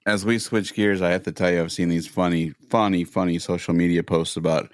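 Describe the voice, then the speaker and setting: A man's voice: sits low, fairly even intonation, a little fast, no room sound, studio quality. A man chatting casually as the co-host of a podcast. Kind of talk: casual chat.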